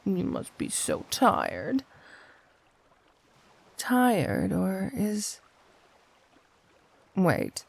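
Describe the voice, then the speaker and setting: Slow, creaky, flirty supervillainess, acted by a woman. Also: mid-range voice, dry recording, full character voice. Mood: sweet, concerned.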